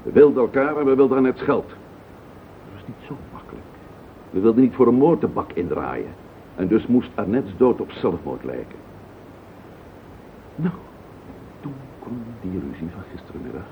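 Deep, gravelly, callous schemer. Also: male voice, sing-song, normal speed, pauses often. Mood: defiant. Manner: cynical, blunt, cursing. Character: callous scheming wife-murderer confessing without remorse. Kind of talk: radio play acting. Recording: tinny, noisy old radio play recording.

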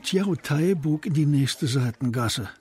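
A man narrating a scripted radio drama with a very husky, breathy voice. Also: on the high side, animated, unhurried, darkish tone.

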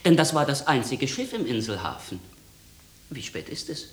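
An actor playing an excitable, resourceful manservant with a flustered manner in a German radio drama. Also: male voice, mid-range voice, moderate pace. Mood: earnest. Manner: flustered, exclamatory.

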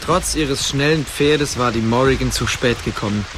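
A man's voice: mid-range voice, moderate pace, background noise. A man narrating a scripted story in a dramatic storytelling style.

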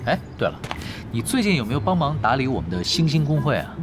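An actor playing a laid-back, shameless veteran online gamer who needles others casually in a Chinese radio drama. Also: male voice, medium pitch, very animated, quick pace, music in the background, lightly acted. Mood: nonchalant, needling.

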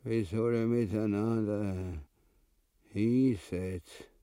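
A man's voice: lowish voice, steady tone, takes it slowly, dark tone, clear, resonant tone, no room sound, clean studio sound. A man playing a crude, blustering, gluttonous husband in a radio play, in broad Austrian dialect. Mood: brooding, raving.

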